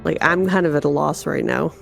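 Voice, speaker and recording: A woman's voice: deep voice, a little fast, vocal fry, faint music behind. A woman playing a tabletop roleplaying game, chatting casually in and out of character.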